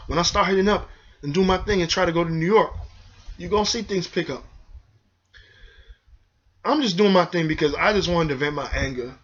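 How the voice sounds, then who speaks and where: A man on a heated vlog rant, talking fast and hard in a somewhat high, tense voice. He preaches and repeats himself, calling out to his viewers directly. The recording sounds dull and lo-fi. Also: a bit bright. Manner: heated, preachy, repetitive, addresses viewers as y'all. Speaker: video host.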